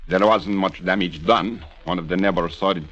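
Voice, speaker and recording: A guarded foreign villain in vintage radio drama. His voice is very low and his phrasing stiff, formal and evasive. The recording is dull and old-fashioned. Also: steady tone, normal speed, fairly bright tone. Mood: guarded, polite. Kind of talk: 1940s-50s radio drama acting. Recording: muffled vintage radio broadcast.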